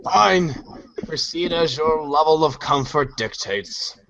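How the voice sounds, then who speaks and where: Man playing bossy vagabond, wildly animated delivery. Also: a little high, slow, muffled recording, heavily acted. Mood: commanding. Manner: commanding, mock-formal. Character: bossy, pompous wandering vagabond issuing commands. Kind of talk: comic read-along storybook acting.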